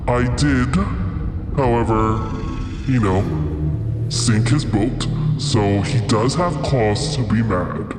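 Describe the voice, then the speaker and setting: A man voicing a huge, polite dragon turtle who speaks in a measured, deadpan way and is reluctant to fight, in a tabletop game. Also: fairly low pitch, over music, pitched below the performer's own voice. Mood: calm, reluctant. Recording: echoey hall-like reverb on voice.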